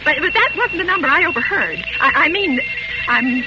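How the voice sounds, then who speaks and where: A woman's voice: sits high, animated, moderate pace, over loud music. An actress with an American accent playing an anxious, demanding invalid woman in a 1940s radio thriller, fretful, imperious and stammering. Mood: anxious, insistent. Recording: noisy, muffled old radio recording.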